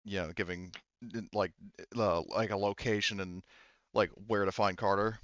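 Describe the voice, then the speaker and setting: A man acts as game master, improvising narration in an informal, hesitant way. His voice is smooth and clean, on a dry, slightly muffled home recording. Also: on the low side, fairly even intonation, moderate pace, fairly bright tone, no echo.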